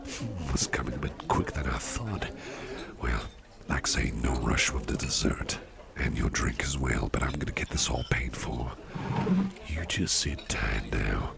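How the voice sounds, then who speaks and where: A man playing a kindly, protective businessman boss in an ASMR roleplay, speaking in a gentle, reassuring, gravelly murmur. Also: expressive, a little slow, exaggerated voice. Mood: warm, gentle. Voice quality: very deep, gravelly, breathy murmur. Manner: gentle, reassuring, softly spoken. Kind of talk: ASMR personal attention roleplay. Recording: clear background noise and ambience.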